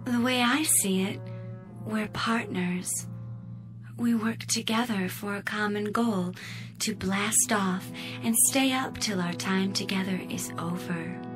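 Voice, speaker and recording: Over music, a woman plays a spaceship's computer with a sultry, breathy female voice. She takes her time, speaking very slowly in a medium pitch. She is devoted, intimate and coaxing, as heard in a radio play. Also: lightly acted. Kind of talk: dramatic radio play acting.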